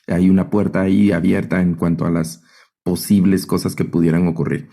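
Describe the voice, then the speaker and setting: A man's voice: deep voice, level tone, normal speed, creaky, dark tone. A man with a Guatemalan accent teaching an online Bible class as a professor, explaining didactically and often checking in with short tag questions.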